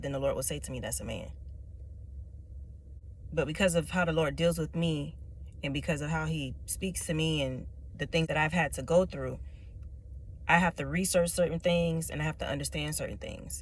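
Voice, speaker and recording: A woman with a rich, low speaking voice, talking emphatically to camera and often repeating her points with a tag question. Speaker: vlogger. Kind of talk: direct-to-camera personal talk.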